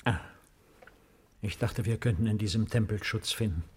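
An actor playing a solemn, secretive, self-important man with a husky voice in a 1950s German radio drama. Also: on the low side, normal speed, a little mellow. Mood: lofty, grave.

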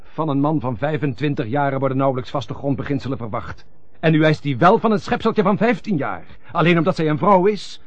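An actor in a radio drama playing a loyal, persuasive friend and go-between, speaking in earnest, formal rhetoric. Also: male voice, fairly high pitch, animated, fairly quick, dry recording, natural voice. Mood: impassioned pleading. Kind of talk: classic European radio drama acting. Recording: dull lo-fi old radio recording.

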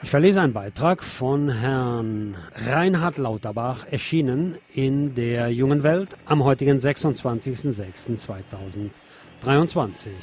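A man presenting a news programme, reading commentary aloud in a measured, formal way. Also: mid-range voice, over loud music.

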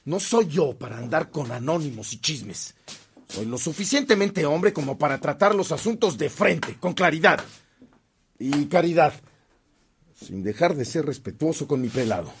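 An actor playing a devout, dignified priest who speaks earnestly and emphatically in a radio drama. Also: male voice, on the high side, animated, moderate pace, a little hiss or hum. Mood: indignant.

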